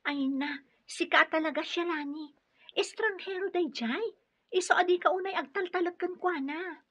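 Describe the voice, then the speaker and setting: A woman's voice: very high-pitched, normal speed, fairly bright tone. A woman with an Ilocano accent playing a wary, scolding young friend in a radio drama. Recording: dull lo-fi radio drama recording.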